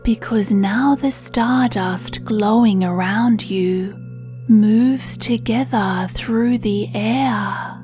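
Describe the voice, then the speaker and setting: A woman reads a children's bedtime meditation at an extremely slow, unhurried pace. Her voice is soft, mellow and hushed, with a soothing, breathy gentleness. Quiet music plays behind her. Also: mid-range voice. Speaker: meditation narrator. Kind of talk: children's sleep meditation storytelling, scripted narration.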